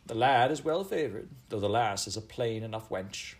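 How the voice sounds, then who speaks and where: A man's voice: on the low side, lively intonation, moderate pace. A man voicing a haughty, vain middle-aged Elizabethan queen with grand, archaic, commanding speech in a storybook reading. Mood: cool, measured.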